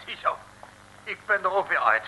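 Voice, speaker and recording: An excitable, dramatic sidekick in an old comic radio serial. The voice is very high and piercingly bright, with pitch leaping about wildly, over faint recording noise. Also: male voice, normal speed, exaggerated voice, low-quality recording. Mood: eager.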